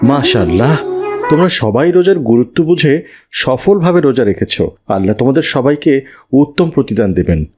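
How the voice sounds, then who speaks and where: Pious, approving father for moral cartoon, medium male pitch, heavy music bed, actor. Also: lively intonation, normal speed, natural voice. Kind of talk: children's cartoon voice acting.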